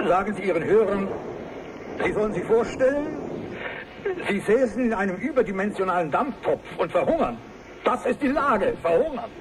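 Bitter male mayor, high-pitched, emphatic pleading, radio drama, clearly noisy two-way link. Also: animated, normal speed. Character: desperate, bitter mayor of a starving town. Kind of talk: acted radio link interview.

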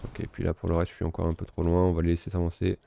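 Man, very low voice, dark and gravelly, casual game commentary. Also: racing, a little hiss or hum. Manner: relaxed, self-mocking, thinking aloud. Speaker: gaming video host. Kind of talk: casual let's-play commentary.

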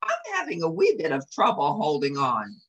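A woman voicing a gallant, gentle little terrier with courtly turns of phrase in a storybook reading. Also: sits low, huge pitch swings, moderate pace, husky, exaggerated voice. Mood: strained, calling down. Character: gallant wee Scottish terrier, eager and gentle.

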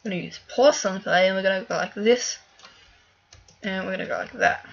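A woman giving casual let's-play commentary as she plays, chatty and thinking aloud with fillers, a little hoarse from a cough. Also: mid-range voice, a little fast, dull audio. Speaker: gaming video creator.

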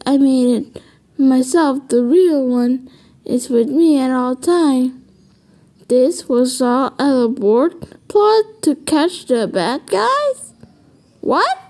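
A woman voicing an excitable, melodramatic cartoon crime-fighter in amateur voice-acting lines, with theatrical, drawn-out exclamations. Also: high voice, heavily acted. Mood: smug, then shocked. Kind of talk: amateur voice-acting line recordings.

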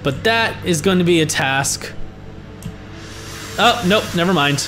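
A young man, hoarse and a little high-voiced, talks his way through a scary game. His pitch swings widely between muttered asides and sudden yelps. Eerie game music plays under his voice. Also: expressive, measured pace, pushed and bright. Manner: reactive, swearing, jumpy exclamations. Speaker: gaming YouTuber. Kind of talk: horror game let's play commentary. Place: small room at home.

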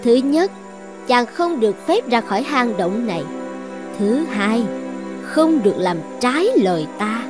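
A woman's voice: a little high, lively intonation, a little slow, music in the background. A woman voicing a possessive, lovesick sorceress princess in a cartoon fairy tale, commanding and coaxing with an edge of menace.

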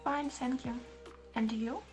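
A woman's voice: high-pitched, steady tone, a little slow, over loud music. A young woman from Ukraine chatting casually over a video call. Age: young adult. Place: room at home. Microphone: webcam video call.

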